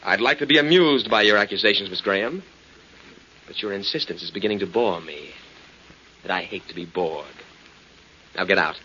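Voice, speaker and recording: A man's voice: lowish voice, normal speed, long pauses, bright, forceful tone. An actor playing a smug, menacing male secretary with a condescending, sneering way of talking in a 1940s radio drama. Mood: cold, taunting. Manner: condescending, sneering, mock-courteous. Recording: hissy muffled 1940s radio recording.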